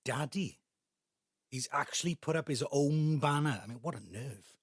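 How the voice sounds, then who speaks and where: An actor playing a resentful middle-aged Welsh son in an audio drama, with a South Wales accent and a sarcastic, grumbling manner. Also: male voice, medium pitch, normal speed, husky, no echo, clean studio sound, lightly acted. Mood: irritated, bitter. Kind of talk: naturalistic audio drama acting.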